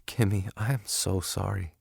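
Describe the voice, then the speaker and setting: A man playing a gentle, grieving middle-aged father in an audio drama, speaking softly and haltingly to console. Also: low-pitched, steady tone, creaky, breathy edge, dry recording, studio quality, pitched above the performer's own voice, exaggerated voice. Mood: tender, sorrowful. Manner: soft, halting, tender.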